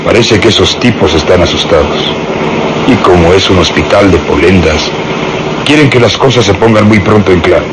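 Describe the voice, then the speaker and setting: A noisy radio drama recording carries a gruff, stout police inspector, played by a man. His voice sits on the low side, and he talks in a brusque, commanding, irritable way, like someone used to giving orders and getting answers. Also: normal speed, natural voice. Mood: brisk, commanding. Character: obese, gruff, nervous, decisive male police inspector.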